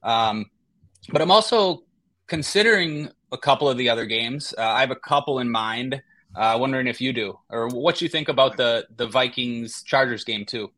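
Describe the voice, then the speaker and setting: A man with a bright, forceful tone co-hosts a sports podcast at a middle pitch. He works through his picks out loud, often pausing and restarting. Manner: thinking aloud, hesitant restarts. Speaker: podcast co-host. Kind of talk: casual sports analysis.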